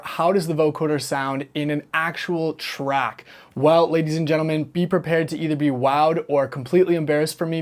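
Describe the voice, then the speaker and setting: A man presenting a synthesizer demo as a casual, playful, self-deprecating walkthrough. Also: fairly high pitch. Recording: music and synth sounds behind voice. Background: loud synthesizer music.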